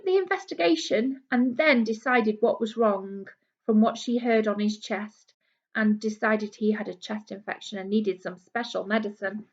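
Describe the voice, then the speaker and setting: Female British primary teacher, voice on the high side, reading and explaining a picture book to young kids; slightly dull, lo-fi sound. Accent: British English. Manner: explanatory, speaking simply as to young children. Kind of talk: children's story reading with simple explanations.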